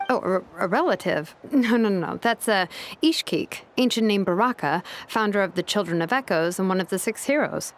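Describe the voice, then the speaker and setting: A woman's voice: mid-range voice, animated, moderate pace, close to an everyday voice. A woman playing an easygoing, thoughtful young inventor in a video game, with a casual conversational delivery. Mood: flustered. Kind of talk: scripted video game acting. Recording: music behind the voice.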